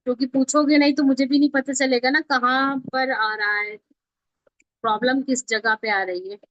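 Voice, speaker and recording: Woman online instructor, higher voice, informal step-by-step teaching with check-in questions; close dry sound, slightly muffled call quality. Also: sharp tone, no room sound. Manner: instructional, checking understanding often. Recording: dull lo-fi online call audio.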